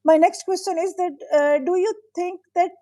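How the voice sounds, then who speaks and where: A woman's voice: very high voice, fairly even intonation, moderate pace, warm tone, no echo, clean studio sound. A middle-aged woman hosting an interview whose first language is not English. She speaks in a thoughtful, hesitant way with many fillers. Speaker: podcast host. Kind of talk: conversational interview.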